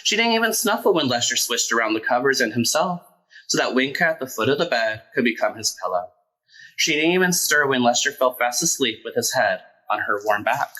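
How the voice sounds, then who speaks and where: A man reading a children's picture book aloud as a storyteller, expressive and emphatic. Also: medium pitch, brisk, rough recording.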